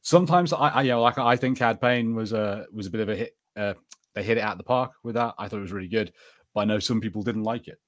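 Lowish-voiced male podcast co-host. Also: quick pace. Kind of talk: casual chat, fan discussion.

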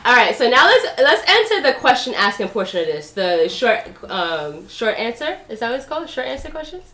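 A woman co-hosting a podcast in casual chat, joking and slangy, with an animated delivery. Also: a little high, fairly quick. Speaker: podcast co-host. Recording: roomy echo.

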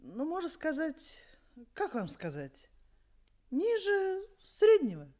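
A woman's voice: high voice, huge pitch swings, takes it slowly, dark tone, exaggerated voice. An actress playing a simple, flustered middle-aged mother of the bride in a Soviet radio play, hesitant, murmuring and exclaiming breathily. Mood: embarrassed. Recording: studio radio play with music.

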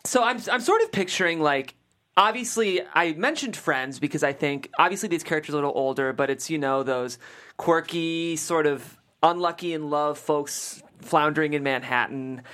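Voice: mid-range voice, normal speed, a bit bright. A podcast host with an enthusiastic, chatty manner, interviewing and discussing scripts casually with guests. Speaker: host of a script table-read show.

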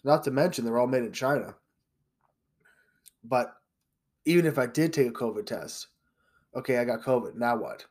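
A man's voice: mid-range voice, expressive, moderate pace, pauses often, a little mellow. Congested male podcast host, blunt and opinionated monologue. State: congested, a little sick.

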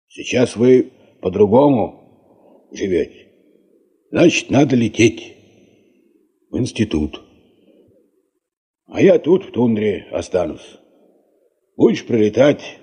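An actor in a radio play playing a kindly, anxious old grandfather who speaks in halting short phrases. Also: very animated, exaggerated voice. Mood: tender resignation. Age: older. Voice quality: husky, soft, low. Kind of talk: Russian radio play acting.

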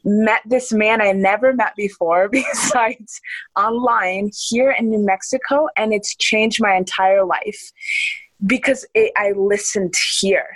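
A woman's voice: mid-range voice, takes it slowly, no echo, poor audio. A woman in her early twenties, an interview guest talking earnestly and enthusiastically, laughing often and restarting her sentences. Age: young adult. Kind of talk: casual conversational interview.

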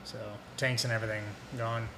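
A man of average pitch shares news on a laid-back podcast. He rambles, with plenty of "uh"s between thoughts. The room is echoey, and noise hums behind him. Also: a little fast. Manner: relaxed, rambling delivery with frequent "uh" fillers. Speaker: podcast co-host reading beer news. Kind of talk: casual podcast banter.

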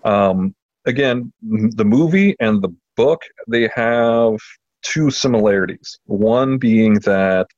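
A man with a fairly low voice talks as a podcast host in a casual, enthusiastic way. He stops and restarts his phrases often. The recording is slightly dull and lo-fi, with room echo around him. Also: a little mellow. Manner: enthusiastic, halting delivery with repeated words. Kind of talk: casual chat. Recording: muffled lo-fi audio in a roomy space.

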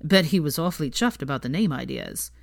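A gentle, awkward young man in audiobook dialogue , as a woman reader puts him on. He talks casually and hesitantly, rueful and a bit blunt, on the lower side in a dry, close sound. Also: lively intonation, fairly quick, vocal fry, no echo. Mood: teasing.

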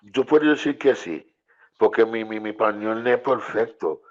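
A man's voice: lowish voice, moderate pace. A man, a guest singer in a casual talk, repeating phrases for emphasis. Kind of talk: casual chat. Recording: dull lo-fi sound.

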